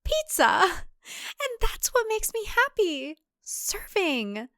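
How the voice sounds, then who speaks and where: A woman voicing a brainwashed, robotically cheerful tradwife in a cult thriller audiobook, with a perky over-bright delivery. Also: extremely high pitch, very animated, slow, no echo, studio quality, voice pushed up, full character voice. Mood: eerily cheerful. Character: brainwashed chipper young tradwife cult member, eerily cheerful.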